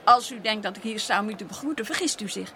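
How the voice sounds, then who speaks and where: A woman's voice: mid-range voice, animated, fast, sharp tone, a faint crowd, a little hiss or hum. An actress playing a proud, unhappy Dutch colonial lady in a radio drama, with formal, emotional delivery. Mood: haughty.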